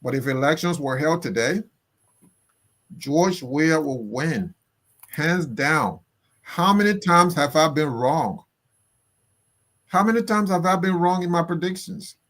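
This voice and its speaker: A fairly high-voiced man with a Liberian accent speaks slowly and deliberately over an online call. He sounds indignant and forceful, hammering his points home by repeating them. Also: animated. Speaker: political commentator guest. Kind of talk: impassioned political commentary.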